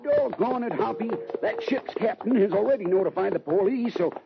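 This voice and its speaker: A man playing a grumbling, slow-witted cowboy sidekick in an old radio western, gravelly, folksy and sputtering. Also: sits high. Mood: querulous, sputtering. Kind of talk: 1950s radio western acting. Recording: noisy, muffled old-time radio recording.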